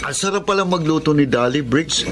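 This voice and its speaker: A man plays a chummy, flattering sidekick in a Filipino melodrama. His voice is a little high and easygoing, set against loud background noise. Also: a little fast, natural voice. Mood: easygoing, curious. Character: admiring, easygoing male buddy of a schemer.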